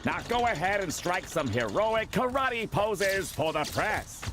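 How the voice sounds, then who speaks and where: An actor playing a pompous, impatient, bossy film director villain in an animated video game. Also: male voice, high voice, measured pace, noisy background. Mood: impatient, grudging. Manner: bossy, self-important, sarcastic.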